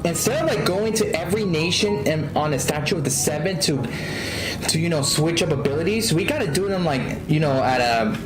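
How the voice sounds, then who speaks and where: A young man giving casual, slangy, excitable live commentary as he streams a video game. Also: fairly high pitch, fairly quick, rough recording. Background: game music.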